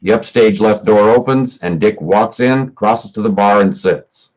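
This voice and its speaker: Male narrator for a staged reading: low pitch, scripted scene and action descriptions. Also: unhurried, darkish tone, rough recording. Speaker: stage directions reader.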